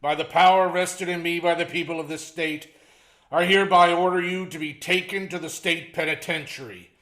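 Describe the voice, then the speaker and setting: An actor playing a stern, solemn judge with formal, declamatory speech in an old-time radio courtroom drama. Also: male voice, a little high, fairly even intonation, normal speed, fairly bright tone, close to an everyday voice. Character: stern, solemn male judge passing sentence. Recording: echoey room sound.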